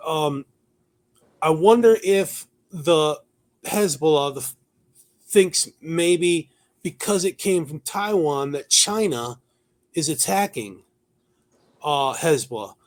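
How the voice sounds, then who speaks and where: A man hosting a news commentary talk show, moving between reading notes and conversational remarks, halting at times and repeating words for emphasis. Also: fairly high pitch, expressive.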